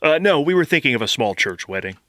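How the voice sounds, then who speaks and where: A man's voice: a little high, sing-song, fast talker, fairly bright tone, dry recording, studio quality. A man playing a mild, agreeable groom-to-be in a comedy sketch.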